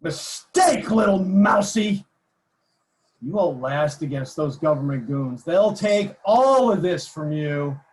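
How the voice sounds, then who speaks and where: A man's voice: a little high, expressive, takes it slowly, a little mellow. An actor in a screenplay table read playing a menacing male gang leader who sneers and taunts with coarse, mocking talk. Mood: angry threat.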